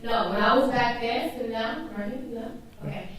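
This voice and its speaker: A woman with a fairly high voice pushing her challenge hard. She sounds far from the mic in a very echoey hall, with a crowd audible behind her. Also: normal speed. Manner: challenging, persistent. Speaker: audience member asking a question.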